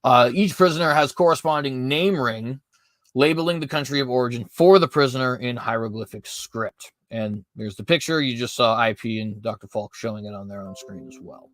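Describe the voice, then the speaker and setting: Male host, mid-pitched voice, dry close recording, reads notes and corrects himself often. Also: fairly quick, a bit bright, no echo. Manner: reads prepared text, frequent fillers and self-corrections. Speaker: podcast host. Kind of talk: apologetics commentary reading notes.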